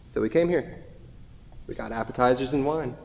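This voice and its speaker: A man's voice: medium pitch, moderate pace, vocal fry, darkish tone, slight background noise, close to an everyday voice. Wistful husband read by a man, reverberant hall. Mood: resigned, wistful. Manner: reminiscing, conversational. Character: Paul, wistful, self-deprecating, devoted husband on his anniversary. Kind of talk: staged reading of a stage play.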